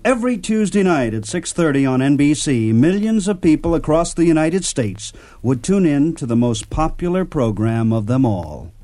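A man's voice: on the high side, big pitch swings, normal speed, hardly any pauses, dark tone. A man hosting a radio program, presenting and interviewing. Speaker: radio host. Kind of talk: scripted introductions and interview.

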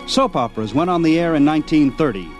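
Animated man narrating radio history. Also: on the high side, quick pace, faint music behind. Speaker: program host. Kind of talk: scripted documentary narration.

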